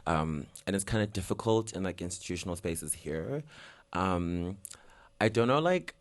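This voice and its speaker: A man's voice: deep voice, expressive, normal speed. A young man from Zimbabwe, a guest artist talking casually in an interview, rambling with lots of fillers and laughing often.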